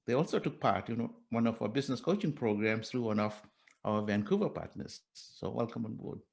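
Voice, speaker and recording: A man with a gravelly, fry-tinged voice hosts a panel discussion. He is cordial and welcoming and stumbles through frequent fillers and restarts. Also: lowish voice, brisk. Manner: hesitant, many fillers and restarts. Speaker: panel moderator.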